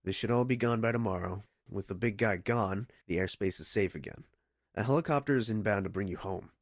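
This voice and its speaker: A man's voice: on the low side, brisk, lightly acted. An actor playing a terse, commanding male mission-control handler in a video game, delivering scripted instructions. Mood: brisk, businesslike. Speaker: voice actor of a game's radio dispatcher. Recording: echoey hall reverb, music behind.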